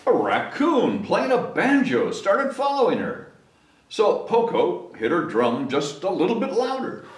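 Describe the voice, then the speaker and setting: A man's voice: high-pitched, wildly swooping pitch, unhurried. A man reading a children's story aloud expressively, with chatty asides to young listeners. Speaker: storybook reader, city mayor. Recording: roomy echo, distant mic, faint noise.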